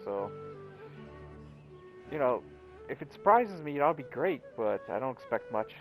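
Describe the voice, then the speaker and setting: Male gaming streamer, mid-pitched, casual, rambling commentary, game music behind. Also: sing-song, a little slow, poor audio. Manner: rambling, informal.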